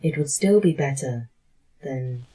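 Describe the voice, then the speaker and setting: A woman playing a disembodied, once-human A.I. in an audio drama, measured, deadpan and resigned. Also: a bass voice, slightly rough, exaggerated voice, low-quality recording. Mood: weary, pleading. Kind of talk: audio fiction drama acting.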